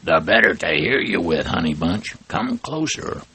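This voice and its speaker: A man's voice: sits low, animated, moderate pace, pushed and bright, slightly rough, no echo, exaggerated voice. A man voicing a sly big bad wolf posing as grandma, coaxing with pet names. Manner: sweet-talking with pet names.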